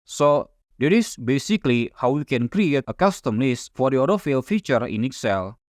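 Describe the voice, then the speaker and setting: A man's voice: mid-range voice, moderate pace, no room sound. Indonesian man explaining a spreadsheet, dry sound. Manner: calm, methodical step-by-step explaining. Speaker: software tutorial instructor. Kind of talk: scripted screen-recording tutorial narration.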